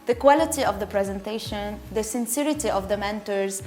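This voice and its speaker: A woman's voice: medium pitch, fairly quick, darkish tone. A woman from Lebanon giving a prepared testimonial as a startup founder. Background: music.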